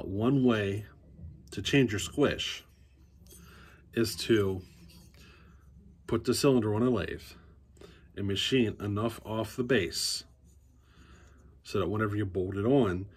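A man's voice: lowish voice, a little hiss or hum. A man in his forties teaching chainsaw work in a basement workshop, talking easily to a close phone camera and thinking aloud as he goes. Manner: easygoing, thinking aloud with fillers and restarts. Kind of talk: casual workshop how-to.

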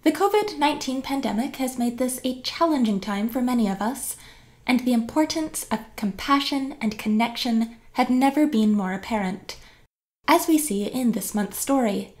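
A woman's voice: a little high, expressive, moderate pace. A woman giving a scripted podcast introduction as producer and host.

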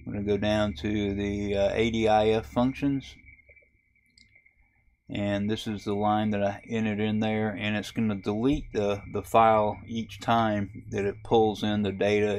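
Low, flat-voiced man explaining software. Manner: relaxed, halting explanation with many uh fillers. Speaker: ham radio video host. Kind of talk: casual screen-recorded tutorial. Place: camper.

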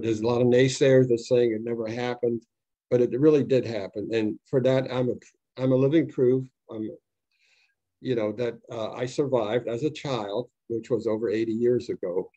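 An older man from Austria telling his story to students in an unscripted, halting way, with many fillers and restarts, on a video call. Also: mid-range voice, mellow, dry recording. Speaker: Holocaust survivor speaking to students. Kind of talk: unscripted testimony talk. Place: home room on a video call. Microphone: video call webcam. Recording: dull, slightly lo-fi video call audio.

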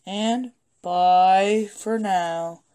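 Hoarse woman rambling through a toy review. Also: mid-range voice, fairly even intonation, very slow, mellow. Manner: rambling and hesitant, casual swearing. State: hoarse, a little sick. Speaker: toy collector vlogger. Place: indoors at a wooden table in a home room.

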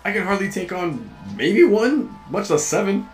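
A man's voice: high-pitched, big pitch swings, moderate pace, soft background music, low-quality recording. A man playing a curious, nervy young drifter in a sci-fi audio drama, talking casually. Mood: alarmed.

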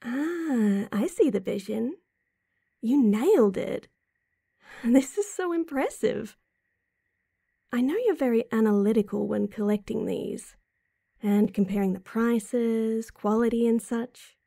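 A woman's voice: on the high side, lively intonation, takes it slowly, long pauses, dark tone, no echo, clean studio sound, exaggerated voice. A woman playing a sweet, supportive, teasing girlfriend in an intimate roleplay audio drama, talking affectionately to the listener. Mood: impressed, excited. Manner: affectionate, teasing, breathy chuckles and sighs. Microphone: close mic, dry.

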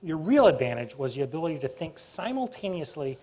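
A middle-aged man with a voice that sits a little high introduces and questions a guest on stage. He talks in a chatty, wry way, restarting sentences as he goes, picked up on a lapel mic in a university auditorium. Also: very animated, brisk, warm tone. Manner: chatty, self-interrupting, wry. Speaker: moderator. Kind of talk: moderated stage conversation. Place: university auditorium with live audience.

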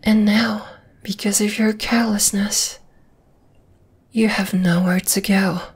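A woman playing a dominating, flesh-eating spider monster in an ASMR roleplay, whispering in a breathy, teasing, menacing way. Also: mid-range voice, fairly even intonation, slow. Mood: gloating, menacing. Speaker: voice actor.

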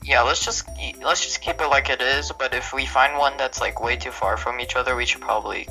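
A man's voice: medium pitch, brisk, gravelly, bright, forceful tone, low-quality recording. A young man with a Texas accent chatting casually and teasing his friend while gaming. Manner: teasing, goofy. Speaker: gamer friend. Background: faint game music.

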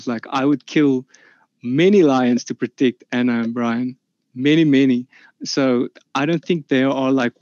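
A man's voice: mid-range voice, a little mellow, dry recording. A man whose first language is Afrikaans, giving an online debate statement with many fillers and restarts over a video call. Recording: dull, slightly lo-fi dry sound.

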